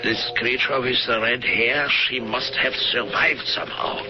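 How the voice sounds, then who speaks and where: An actor playing an aging fugitive wartime prison doctor, self-justifying and brooding, in a 1970s radio drama. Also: male voice, medium pitch, steady tone, unhurried, music in the background, natural voice. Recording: dull lo-fi old radio recording.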